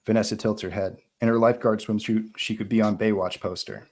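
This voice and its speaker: A man's voice: on the low side, fairly even intonation, brisk, a little mellow. A man reading out screenplay stage directions as narrator at a live table read.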